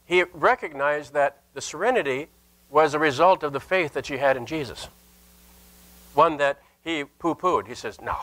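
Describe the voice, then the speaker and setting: An older man, a Lutheran pastor, preaching a sermon in an earnest, emphatic manner with deliberate pauses and repeated phrases. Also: fairly high pitch, fairly bright tone, low-quality recording. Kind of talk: sermon with scripture and anecdote. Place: indoor church sanctuary at a lectern.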